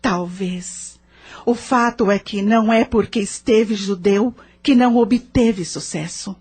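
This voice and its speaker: A Brazilian woman acting a patient, moralizing spirit mentor in an audio drama, measured, probing and didactic. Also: mid-range voice, darkish tone, husky, soft background music. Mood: calm, solemn.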